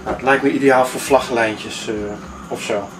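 A man's voice: medium pitch, steady tone, moderate pace. Man, fifties, informal vlog demonstrator, hesitant delivery, distant roomy sound. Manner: hesitant, searching for words. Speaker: sailing vlogger. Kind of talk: informal demonstration talk to camera. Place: inside a sailboat cabin at the navigation desk. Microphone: camera a few steps away. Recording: roomy, distant mic, clearly noisy.

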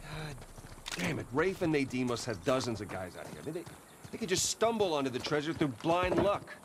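Restless game adventurer: American, blunt, animated, heard over very loud noise. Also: male voice, a little high, fairly quick. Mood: uneasy. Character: scrappy, restless adventurer, adult man. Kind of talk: video game acting. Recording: loud vehicle and ambient noise behind.

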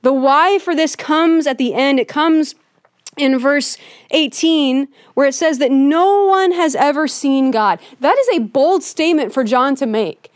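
A woman preaches a Christmas sermon in a church, speaking in a high voice. Her delivery is earnest and conversational, and she often hedges with "kind of." The recording is dry and close, with little room sound. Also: a little slow, no room sound. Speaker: pastor. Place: church sanctuary with a Christmas tree.

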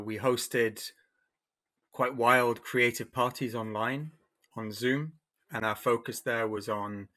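A middle-aged man introducing himself and explaining his arts group on a video call panel, speaking through a headset in a plain, measured way. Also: medium pitch, a bit bright. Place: home room.

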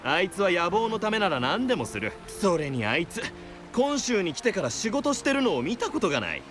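A man's voice: high voice, big pitch swings, brisk. A man voicing a blunt, condescending and suspicious male character in a Japanese video game. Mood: scornful. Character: scornful, suspicious man gossiping about a rival. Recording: loud music behind the voice.

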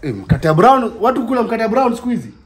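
A man's voice: high-pitched, big pitch swings, fast, noisy background. A man playing a defensive boyfriend in a comedy skit, speaking with a Kenyan accent. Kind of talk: scripted comedy skit dialogue, mixing Swahili and English. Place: living room indoors, sofa. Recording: roomy, distant pickup with music.

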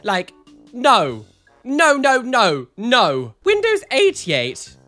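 A man's voice: very high-pitched, very slow, faint music behind. A man in his thirties, congested and nasal from a cold, giving camp, chatty reaction commentary from a home studio at a close mic. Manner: animated, sassy, dramatic exclamations. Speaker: YouTuber reacting to photos. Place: home studio room with neon lights. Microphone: studio mic on a boom arm, close.

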